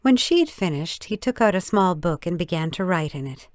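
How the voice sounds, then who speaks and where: A woman reading a story as scripted narration, in a measured, careful storytelling manner. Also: fairly low pitch, animated, no room sound, studio quality. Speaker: audiobook narrator.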